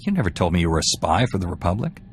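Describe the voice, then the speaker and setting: A low-voiced, curt Jedi warrior. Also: male voice, big pitch swings, very fast, very noisy. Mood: stern. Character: stern, dry-witted young male Jedi warrior hero. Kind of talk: video game cutscene acting.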